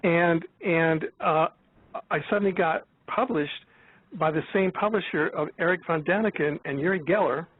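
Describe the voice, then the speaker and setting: An American man of seventy talking in a rambling, halting way in an interview, often restarting and repeating his words. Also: fairly high pitch, slightly rough, dry recording. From United States, born in Florida. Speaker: guest, author and researcher. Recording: tinny phone-like line, dry.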